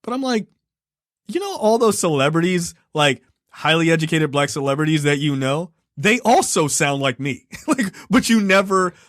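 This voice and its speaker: A man's voice: fairly high pitch, big pitch swings, normal speed, fairly bright tone, no echo. A man from Baltimore talks at length on a podcast, chatty and emphatic, with frequent laughs. His voice sits in a mid range. The sound is dry and close to the mic. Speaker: podcast co-host. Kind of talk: casual chat.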